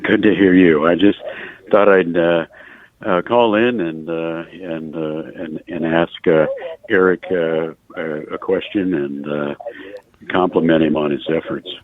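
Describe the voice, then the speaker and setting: A man phoning in to a show, chatting casually in a hesitant, halting way over a telephone. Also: sits low, steady tone. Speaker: phone-in caller. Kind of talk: casual call-in chat. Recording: tinny, band-limited phone line.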